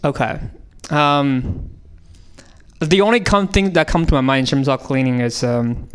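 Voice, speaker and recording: A man's voice: medium pitch, measured pace, clear, resonant tone. A man from China with a Chinese accent, improvising comedy bits on given topics in a rambling way, full of fillers and restarts. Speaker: comedian riffing on prompts. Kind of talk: improvised comedy on audience prompts. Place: radio studio.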